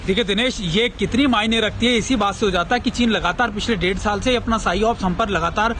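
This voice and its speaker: A man's voice: high-pitched, brisk, music in the background. A man with an Indian accent giving live news analysis as a reporter, insistently, in long run-on sentences. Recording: outdoor traffic noise behind voice.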